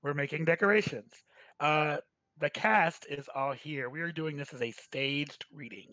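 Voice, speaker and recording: A man hosting a staged reading, talking casually and haltingly. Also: a little high, bright, forceful tone, no echo, rough recording. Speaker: host of a staged game reading. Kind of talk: casual commentary and introductions.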